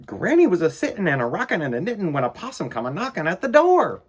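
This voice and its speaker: A man hosting a children's storytime, chatting to young listeners and reading aloud in a playful, animated way. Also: sits high, fast, slightly rough.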